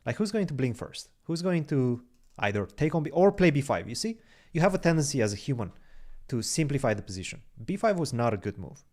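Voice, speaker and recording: A man's voice: medium pitch, very animated, fairly quick, few pauses, darkish tone. A young man whose first language is Romanian, co-hosting a chess stream, chatting casually with viewers and thinking aloud over moves. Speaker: chess podcast co-host reading chat. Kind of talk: casual livestream chat with game commentary.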